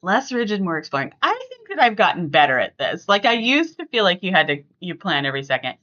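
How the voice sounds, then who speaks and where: A woman's voice: fairly low pitch, sing-song, quick pace, sharp tone, dull audio. A middle-aged woman hosting a podcast, chatting casually and emphatically with family. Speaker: podcast host.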